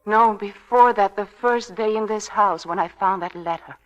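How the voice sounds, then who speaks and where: A woman's voice: medium pitch, moderate pace, dull audio, close to an everyday voice. An actress playing a fragile young Victorian wife who doubts her sanity, earnest and pleading, in radio drama style. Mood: distressed, pleading. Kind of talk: 1940s radio drama acting. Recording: old radio recording, faint music behind.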